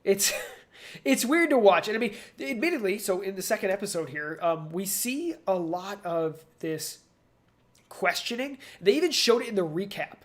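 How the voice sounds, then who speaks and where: A man's voice: high voice, very animated, normal speed. Musing male podcast co-host. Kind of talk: casual pop culture discussion.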